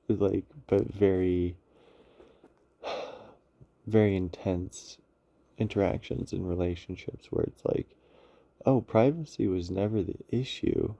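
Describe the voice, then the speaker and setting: A man giving an unscripted, reflective monologue on inner life, halting and searching for his words as he goes. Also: low-pitched, vocal fry, mellow, dry recording, muffled recording. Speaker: speaker on spirituality and psychology.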